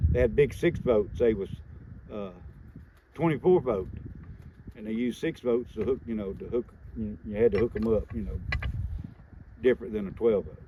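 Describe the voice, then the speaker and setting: A man's voice: on the low side, lo-fi sound. A middle-aged man with a Southern US accent telling an anecdote casually in a folksy, rambling, plainspoken way. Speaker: storyteller on a homesteading family channel. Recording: noisy ambient background.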